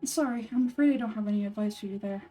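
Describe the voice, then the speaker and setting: A composed young scholar-ranger from a video game, a man voiced by a woman, with a mellow tone and a dry, sardonic edge. He explains things in measured, matter-of-fact phrases, a little far from the mic over background music. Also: medium pitch, lightly acted. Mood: calm, explanatory.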